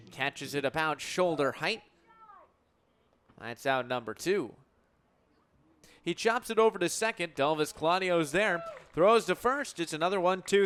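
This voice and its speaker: A man's voice: a little high, fairly bright tone. A man calling baseball play-by-play for radio in punchy, clipped phrases that swell on big plays. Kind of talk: live sports play-by-play commentary.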